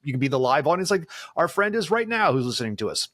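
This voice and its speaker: A man's voice: fairly high pitch, big pitch swings, fast talker, a bit bright, dry recording, clean studio sound. A man hosting a podcast in casual, jokey banter, chuckling often and swearing casually as he introduces the players. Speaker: podcast host.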